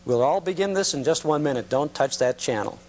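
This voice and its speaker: A man's voice: on the high side, expressive, brisk, darkish tone, slight background noise. A man hosting a nostalgia show, presenting and asking questions in a conversational way. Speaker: host of a nostalgia interview show.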